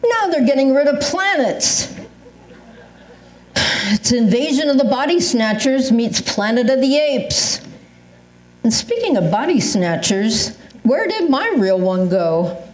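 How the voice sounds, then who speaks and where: A woman's voice: high voice, sing-song, takes it slowly, pauses often, darkish tone, heavily acted. An older woman playing an exasperated, comically paranoid senior in an amateur readers theatre comedy, complaining wryly and theatrically. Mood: comic indignation. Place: indoor live readers theatre performance. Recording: roomy, echoing live stage pickup.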